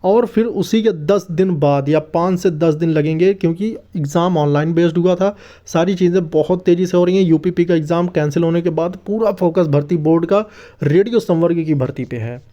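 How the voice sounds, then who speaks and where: Guy doing a youtube update, soft mellow tone, kinda muffled lofi audio, repeats his points. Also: fairly high pitch, normal speed. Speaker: YouTube host giving exam news. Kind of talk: informal news update addressed to viewers. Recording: dull, lo-fi muffled sound.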